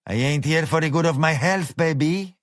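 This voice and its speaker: A man's voice: a little high, moderate pace, dry recording, clean studio sound, pitched above the performer's own voice, close to an everyday voice. A man voicing a tough, weary middle-aged woman at a bar, talking bluntly in a drawl with slang.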